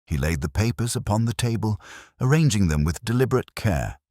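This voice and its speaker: A man's voice: lowish voice, expressive, a little fast, darkish tone, no echo, clean studio sound. A man narrating a classic detective story from a script in a dramatic, formal storytelling manner. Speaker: audiobook narrator, reading as a first-person storyteller.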